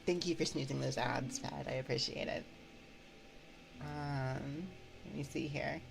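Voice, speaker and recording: A woman hosting an ASMR livestream, chatting casually and haltingly as she replies to viewers on a binaural microphone. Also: extremely low pitch, fairly even intonation, vocal fry, over music. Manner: halting, reading and replying to chat. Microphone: binaural 3Dio microphone.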